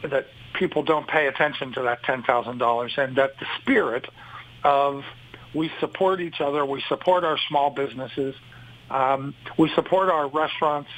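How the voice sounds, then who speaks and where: A man speaks on a talk panel in a rambling, filler-heavy way at a mid pitch. His sentences wander from one point to the next. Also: steady tone, moderate pace, a bit bright, rough recording. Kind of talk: talk show remarks.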